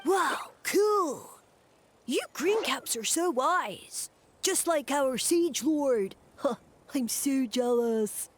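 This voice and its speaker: Squeaky, slow, dim-witted mushroom sidekick. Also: female voice, animated, heavily acted. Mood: admiring. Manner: gushing, slow-witted, exclamatory. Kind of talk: video game character acting. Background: game music.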